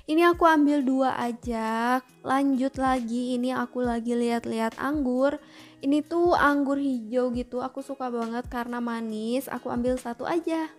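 A woman's voice: high-pitched, moderate pace, soft background music. A young woman vlogger chatting through a grocery shopping trip, often asking viewers to comment below.

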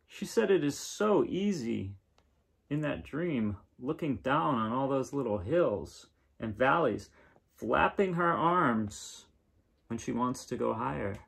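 A man in his thirties reading a picture book aloud in a casual, unhurried way with pauses between phrases. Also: medium pitch, animated, creaky, fairly bright tone, husky. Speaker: reader of children's picture books. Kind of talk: gentle read-aloud storytelling and casual chat. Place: small living room indoors, seen on camera.

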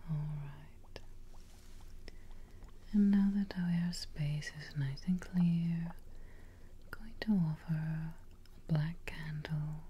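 A young woman playing a gentle, reassuring witch in an ASMR role play, speaking softly and breathily, close to the microphone. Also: low voice, heavily acted. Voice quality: soft, breathy, whispery. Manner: slow, reassuring, unhurried. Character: gentle, soothing witch tending a patient.

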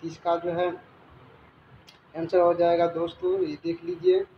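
A man teaching exam questions, reading questions and answers aloud in a halting way with stumbles and repetitions. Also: sits high, sing-song, vocal fry. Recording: dull, roomy recording with background noise.

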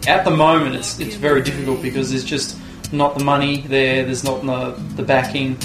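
A man's voice: medium pitch, flat delivery, moderate pace. A man in his twenties with an Australian accent from Tasmania, talking in a laid-back, musing way in a sit-down interview indoors. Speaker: pro vert inline skater, interviewee. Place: indoor room with window blinds. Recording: roomy sound with music underneath. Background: music.

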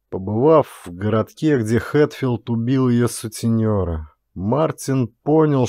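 A man's voice: medium pitch, darkish tone, dry recording, studio quality. A man reading an audiobook as dramatic scripted narration.

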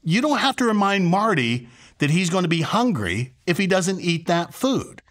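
A man's voice: high voice, big pitch swings, normal speed, a bit bright. A man in his sixties, a pastor giving a conversational teaching to camera, emphatic and fond of rhetorical questions. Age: 60s. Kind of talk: conversational sermon to camera with acted-out examples. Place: furnished living room, indoors.

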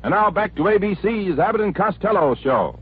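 Animated vintage American radio announcer, male. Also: high-pitched, brisk, slightly rough. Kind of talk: scripted 1940s radio promotional announcement. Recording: tinny, noisy 1940s radio recording.

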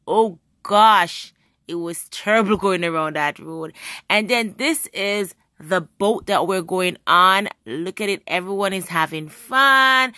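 A woman's voice: medium pitch, pushed and bright, dry recording, clean studio sound. A woman narrating her travel vlog, talking straight to her viewers with enthusiasm. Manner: enthusiastic, addresses viewers directly. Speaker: travel vlogger. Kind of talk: travel vlog narration over phone clips.

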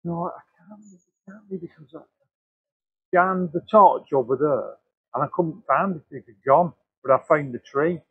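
Man, gravelly husky voice, insistent, tinny lo-fi sound from afar. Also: a little high, moderate pace. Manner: insistent, explaining what he saw. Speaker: fellow investigator.

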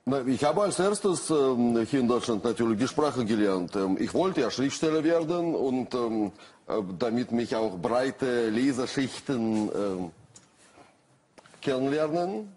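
A man's voice: medium pitch, animated, measured pace, a little mellow, slight background noise. A middle-aged man with a Russian accent, a guest writer on a talk show, speaking hesitantly with many fillers and dry humor. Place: TV studio with audience.

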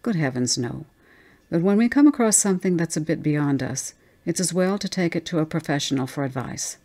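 A woman's voice: deep voice, lively intonation, a little fast, mellow. A woman voicing an easygoing, practical husband in a story, in a breezy, dismissive, reasoning manner.

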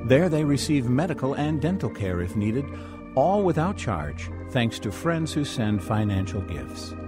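A man announces a scripted radio program with measured drama. His mid-range voice rises and falls widely, over clear music. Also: mid-range voice, sing-song.

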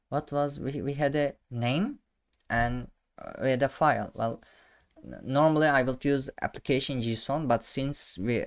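A man's voice: medium pitch, smooth. A young man whose first language is Turkish, talking through a coding tutorial at his desk with lots of fillers and restarts. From Turkey. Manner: halting, many fillers and restarts, self-amused. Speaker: programming tutor. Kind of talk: casual screen-share coding tutorial. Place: small room indoors.

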